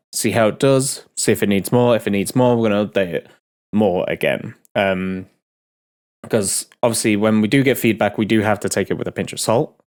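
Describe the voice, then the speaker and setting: A man hosting a casual game developer Q&A, a bit ill and nasal, rambling with many pauses and restarts. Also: fairly low pitch. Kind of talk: casual dev talk Q&A.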